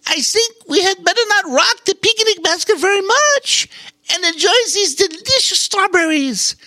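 A storyteller voicing an excitable female gray squirrel in a very high, shrill squeak. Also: moderate pace, full character voice. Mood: calm.

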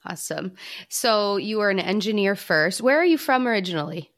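A woman's voice: medium pitch, expressive, brisk, a bit bright. A woman hosting a podcast and interviewing a guest, talking in an upbeat, friendly way. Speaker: podcast host.

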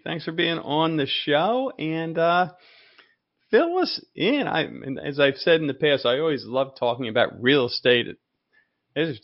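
A man's voice: fairly high pitch, highs cut off. A man hosting a podcast, interviewing a guest with upbeat, loosely phrased questions and short affirmations. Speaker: podcast host. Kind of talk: casual interview chat.

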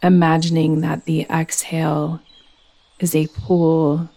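A woman leading a guided meditation as a yoga nidra teacher, speaking in a soothing, gentle, unhurried manner. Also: low voice, completely flat delivery, vocal fry, low-quality recording.

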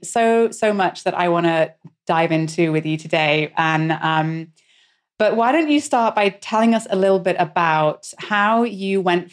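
A woman hosting a business podcast, introducing her guest and asking questions with some fillers and quick laughs. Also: on the low side. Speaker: podcast host. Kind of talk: podcast interview.

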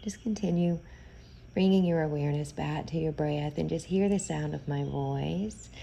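A woman leading a guided meditation in a soothing, gentle, unhurried manner, pausing often between phrases. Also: deep voice, vocal fry, a little hiss or hum.

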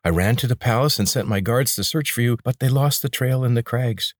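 Male narrator doing a soft-spoken young queen, earnest, audiobook figure. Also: medium pitch, a little fast, no echo, studio quality, voice pushed up, lightly acted.

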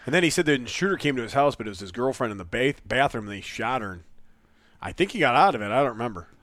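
A blunt, joking man who talks quickly and swears freely. His voice sits a bit high and swings lively as he fires off rambling questions, recorded close and dry. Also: no echo. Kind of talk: casual chat.

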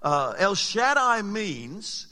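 A male pastor delivers an animated sermon in a high, bright, forceful voice with a slight rasp. His words ring out through a church hall with a long reverb. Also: drawn-out words. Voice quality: slightly raspy. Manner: animated, emphatic preaching with rhetorical questions.

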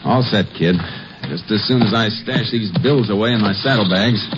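An actor playing a steady, commanding Texas Ranger in a 1950s western radio drama, talking in a terse, folksy, authoritative way. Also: male voice, lowish voice, normal speed, noisy background, lightly acted. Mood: firm, calming. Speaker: lead actor and narrator. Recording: dull old radio recording with music.

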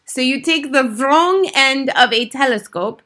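An imperious storybook witch queen. Her voice is pitched well up and pushed loud. She snaps out menacing orders with gloating authority and stilted grammar. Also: animated, a little slow, full character voice. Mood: lecturing, triumphant. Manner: commanding, snapping, shouting, odd foreign-sounding grammar. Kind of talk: audiobook children's story villain.

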